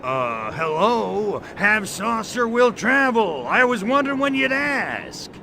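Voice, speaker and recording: An actor playing a cocky, wisecracking alien invader in a video game, crude, flirty and sarcastic, with a drawling delivery. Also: male voice, sits high, very animated, slow, creaky, noisy background, heavily acted. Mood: boastful, flirty. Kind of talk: video game cutscene acting.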